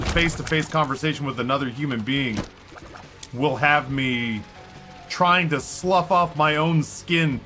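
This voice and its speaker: A man's voice: mid-range voice, sharp tone. A middle-aged man streaming a video game, rambling on in casual, self-deprecating chat and never letting the talk stop. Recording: music playing clearly behind.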